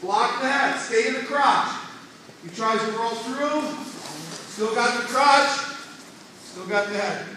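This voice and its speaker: A man's voice: very high-pitched, takes it slowly, long pauses, sharp tone, slight background noise. Man coaching wrestling in an echoey gym. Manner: instructive, repeats "okay?" to check in; talks while grappling on the mat. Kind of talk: demonstrating technique to students. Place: indoor wrestling room with mats. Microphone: far camera. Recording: echoey room, distant from mic.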